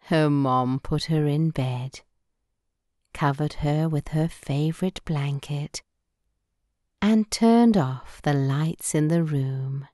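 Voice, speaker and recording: A woman narrating a children's bedtime story in a gentle, measured, scripted way. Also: sits low, no echo, clean studio sound.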